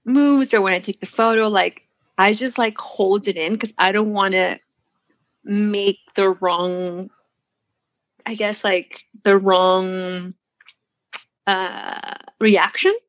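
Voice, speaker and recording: A woman in her thirties co-hosts a podcast in a relaxed, rambling way, full of "like" and quick laughs. The recording is dry and a bit dull, with little room sound. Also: medium pitch, dry recording. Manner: chatty, filler-heavy, laughs easily. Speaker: podcast co-host. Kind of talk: casual chat.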